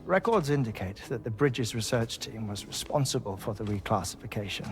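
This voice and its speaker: An actor playing a genial, scholarly investigator in a video game, speaking in a formal, courteous, wordy way. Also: male voice, lowish voice, expressive, fairly quick, close to an everyday voice. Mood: explanatory, measured. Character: genial, scholarly male investigator with old bones. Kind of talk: video game cutscene acting. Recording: game dialogue over clear music.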